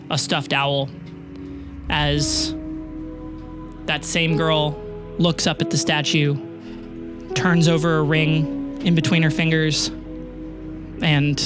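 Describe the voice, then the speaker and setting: A game master narrates a tabletop adventure over prominent music. The pitch sits in a middle range and the delivery is conversational, peppered with "like". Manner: conversational, uses "like" often. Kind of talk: narrating a tabletop roleplay audio drama. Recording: music under the voice. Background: background music.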